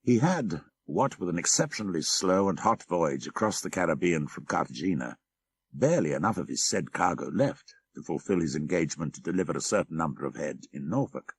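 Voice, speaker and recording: A male narrator reads a classic tale of horror in a very low voice with a husky grain. His delivery is measured and literary, swinging widely in pitch for dramatic effect. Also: animated. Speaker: audiobook narrator. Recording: 1990s audiobook cassette.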